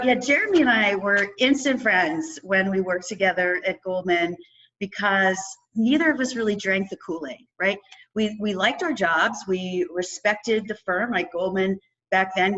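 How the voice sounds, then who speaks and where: A woman hosting a business podcast, energetic and chatty, laughing often as she introduces her guest. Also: medium pitch, muffled recording. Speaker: podcast host. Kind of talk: upbeat interview podcast hosting.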